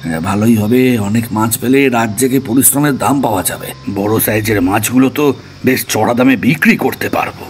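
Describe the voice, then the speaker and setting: A man's voice: mid-range voice, fairly quick, a little hiss or hum, lightly acted. A man playing a practical, protective middle-aged village father in an audio story. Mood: practical, pleased.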